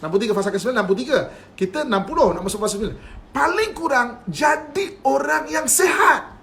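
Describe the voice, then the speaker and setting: A man's voice: very high-pitched, expressive, fast, vocal fry, bright, forceful tone. A man with a Malaysian Malay accent giving a live-streamed religious lecture. He is playful, keeps asking whether his listeners follow, and often chuckles. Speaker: religious teacher.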